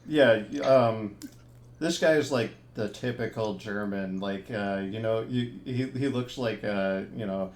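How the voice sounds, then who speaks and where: A man's voice: fairly low pitch, measured pace, darkish tone. A man narrating a tabletop horror game as game master, easygoing and rambling, full of ums and restarts.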